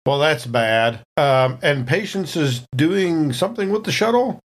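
A man's voice: on the high side, lively intonation, unhurried. A man chatting casually as a player in a tabletop game, with a wry, jokey manner.

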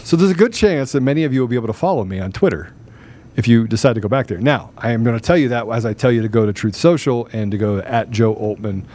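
A man speaks as the host of a live talk show, in a voice of average pitch. His delivery is casual, and he tends to repeat phrases to drive a point home. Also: quick pace, warm tone. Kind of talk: casual live talk, sometimes reading questions aloud.

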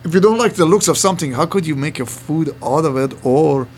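A man's voice: on the high side, animated, brisk, slight background noise. An older man from Iran with a Middle Eastern accent, chatting bluntly as a guest about running his pizza place, in broken English. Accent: Iranian. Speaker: guest, former pizzeria owner. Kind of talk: casual interview chat.

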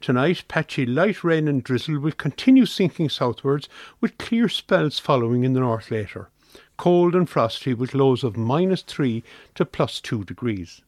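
An Irish man reads a scripted weather forecast for radio. His medium-pitched voice moves up and down in an animated way. The recording is dry and free of room sound. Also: normal speed, dry recording.

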